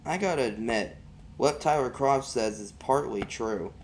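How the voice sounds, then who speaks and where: A man with a medium-pitched voice reads a story aloud as an amateur narrator. The telling is first-person and folksy, recorded with a little noise underneath. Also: measured pace. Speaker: amateur audiobook reader.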